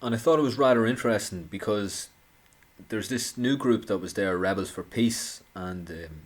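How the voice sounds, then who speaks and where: Man, deep voice, sarcastic commentator delivering an indignant monologue with stumbles and restarts. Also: normal speed. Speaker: political commentator.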